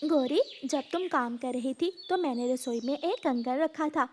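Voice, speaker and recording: A woman's voice: high voice, moderate pace, a little mellow, slight background noise, natural voice. A woman voicing a rich, generous bird who is a caring household mistress in a children's cartoon. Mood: calm, concerned. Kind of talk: Hindi children's moral cartoon dialogue.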